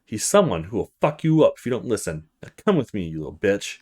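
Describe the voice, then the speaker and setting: A man's voice: on the low side, sing-song, fast, a little mellow, voice pushed down. A man voicing a cocky, aggressive young male street thug in a visual novel, with a taunting, menacing delivery. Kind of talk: visual novel character read-aloud.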